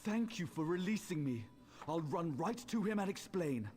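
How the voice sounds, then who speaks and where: A man voicing an excitable, scatterbrained wizard in a fantasy game, theatrical and eager. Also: high voice, normal speed, a little hiss or hum. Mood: relieved, eager. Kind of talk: scripted fantasy game dialogue.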